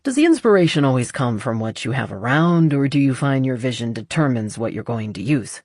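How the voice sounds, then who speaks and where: A woman's voice: a bass voice, expressive, brisk, a little mellow, no echo, clean studio sound. A woman voicing a teasing, understated adult male artist in an audiobook romance. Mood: teasing, curious. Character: teasing, low-key adult male artist, gently curious. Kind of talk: audiobook character dialogue.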